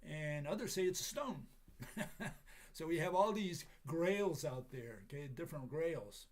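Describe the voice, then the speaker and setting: A man with a raspy, hoarse voice from a cold, giving a casual lecture with many pauses and fillers. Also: a little high, animated. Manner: halting, many fillers, chuckles at himself.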